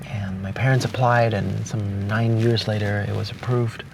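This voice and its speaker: A young man from Hong Kong with Cantonese as his native language, speaking slowly and thoughtfully with pauses and restarts, recorded outdoors on a beach at dawn. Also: on the low side, flat delivery, gravelly, music in the background. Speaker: vlogger. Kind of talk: reflective spoken letter. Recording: distant outdoor mic with noise.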